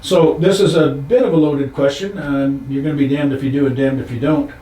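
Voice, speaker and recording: A man's voice: a little high, steady tone, a little fast, dark tone. A man hosting an informal interview, with a folksy, easygoing way of phrasing questions. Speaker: interviewer, show host. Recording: echoey room.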